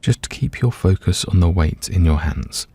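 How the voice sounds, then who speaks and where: A man gently guiding a meditation, giving soothing, unhurried instructions with pauses between phrases. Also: low voice, animated, slightly rough, dry recording. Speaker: meditation guide. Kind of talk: guided meditation narration.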